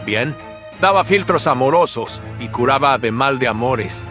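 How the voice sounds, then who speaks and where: Man acting a bright-voiced, deferential hired informant. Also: mid-range voice, animated, unhurried, soft background music, voice pushed up. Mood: confiding, matter-of-fact. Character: male hired informant reporting dark gossip. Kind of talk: Spanish-language horror radio drama acting.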